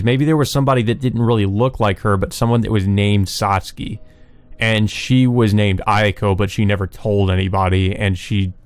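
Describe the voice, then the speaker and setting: A man reading a visual novel aloud and commenting casually as he plays. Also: lowish voice. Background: game music.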